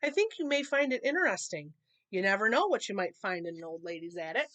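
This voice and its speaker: A woman's voice: medium pitch, sing-song, quick pace, a bit bright, no echo. A woman voicing a proud, encouraging father bear in a children's storybook. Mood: proud, enthusiastic.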